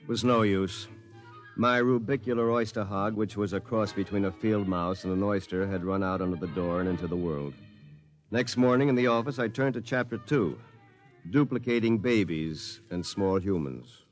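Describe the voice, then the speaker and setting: A man's voice: fairly low pitch, normal speed, soft background music, close to an everyday voice. An actor narrating as a weary, rueful lawyer telling his own story in a 1950s radio drama.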